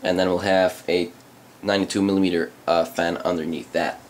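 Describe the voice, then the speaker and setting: Dutch native speaker, male, very low voice, halting hobbyist unboxing talk. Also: flat delivery, slight background noise. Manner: halting, many ums and uhs. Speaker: channel host reviewing PC parts. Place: indoors at a desk, hands on camera.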